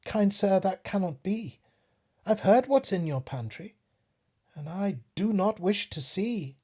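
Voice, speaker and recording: A man's voice: high-pitched, expressive, measured pace, darkish tone, slightly rough, voice pushed up, heavily acted. A man voicing a small fly in a storybook poem, wary and anxiously polite as it refuses temptation. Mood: politely refusing.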